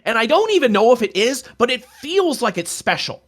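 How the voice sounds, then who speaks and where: A man's voice: very high-pitched, sing-song, brisk, a bit bright. A man co-hosting a casual discussion of tabletop roleplaying, telling stories in an earnest, emphatic way. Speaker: podcast co-host.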